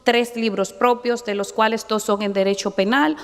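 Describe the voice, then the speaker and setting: Casting: middle-aged woman, judge at a hearing, formal and measured, slightly high voice, echoing chamber. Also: fairly even intonation, smooth. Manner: formal, measured, devout asides. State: nervous. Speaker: judge and candidate. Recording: long reverb in a hall.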